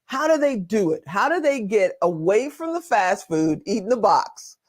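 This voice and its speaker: A man's voice: high voice, big pitch swings, normal speed. A man interviewing a guest as a talk show host, chatty, informal and joking in his delivery.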